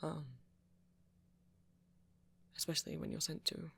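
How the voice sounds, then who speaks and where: A shy young vampire played by a man speaks in a posh British accent for an intimate ASMR roleplay. The voice is light for a male, breathy and crackling with creak. He is hesitant and apologetic, stumbling gently over his words. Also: a little high, completely flat delivery, measured pace, full character voice. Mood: uneasy, reticent. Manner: hesitant, stammering, soft-spoken, apologetic.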